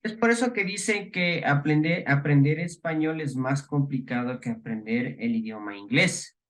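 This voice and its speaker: A middle-aged man from the Ecuadorian highlands with that accent, giving a class lecture with many fillers, restarts and rhetorical questions. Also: normal speed, a bit bright. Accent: Ecuadorian highland Spanish. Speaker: teacher.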